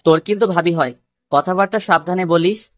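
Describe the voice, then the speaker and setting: A woman's voice: lowish voice, a little fast, no room sound, studio quality. A woman narrating a scripted first-person love story.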